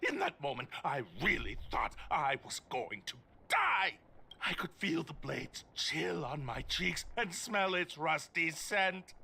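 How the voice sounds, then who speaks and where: An actor playing a nervous young patrol guard who stammers and gets frightened easily. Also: male voice, fairly high pitch, very animated, slow, gravelly, bright, forceful tone, slightly rough, full character voice. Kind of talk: game voice acting.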